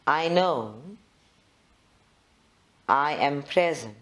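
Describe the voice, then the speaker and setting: Very slow meditation guide. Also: mid-range voice, expressive, fairly bright tone. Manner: measured, instructional, with short phrases and long pauses.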